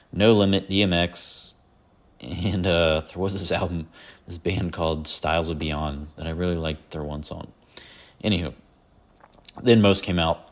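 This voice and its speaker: A man hosting a solo podcast, chatting casually and rambling about his favorite albums with lots of fillers and self-deprecating asides. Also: sits low. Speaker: podcast host.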